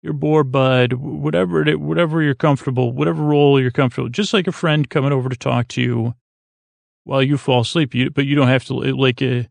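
A man with a creaky voice hosting a bedtime podcast, talking in a calm, gentle, meandering way with halting self-interruptions. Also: mid-range voice, dry recording. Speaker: podcast host. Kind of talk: rambling, soothing bedtime podcast monologue.

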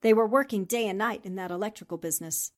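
A woman's voice: medium pitch, quick pace, close to an everyday voice. A woman playing a determined, principled newspaperwoman in a 1940s radio drama, speaking in an assertive, articulate and polite way. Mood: resolute, challenging. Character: determined, principled newspaperwoman investigating a murder case.

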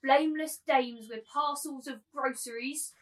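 A woman's voice: high-pitched, fairly even intonation, unhurried. A woman acting a mocking, bullying brothel madam in a dramatised novel reading, with a cutting, taunting delivery. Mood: cutting contempt. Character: scornful bullying brothel madam turned mannish tyrant.

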